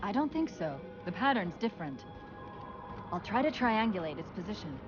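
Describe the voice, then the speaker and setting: A woman voicing a quick-witted, caring, quietly ailing female AI companion in a cinematic video game, articulate and wry. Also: medium pitch, sing-song, lo-fi sound, natural voice. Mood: alert. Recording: loud music mixed behind voice. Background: loud game music, vehicle sounds.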